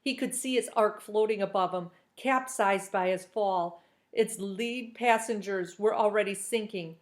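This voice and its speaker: A woman's voice: mid-range voice, measured pace. Woman reading a storybook aloud expressively. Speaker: reader reading a children's novel aloud. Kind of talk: expressive storybook narration with brief asides to listeners.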